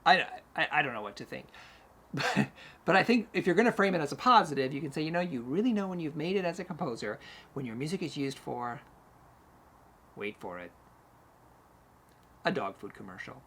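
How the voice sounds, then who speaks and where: A man's voice: fairly high pitch, animated, a little fast, long pauses, a bit bright, slightly rough. A man hosting a music talk, telling history stories casually and animatedly, acting out short quotes as he goes. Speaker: host, music educator and conductor. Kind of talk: casual storytelling about classical music history.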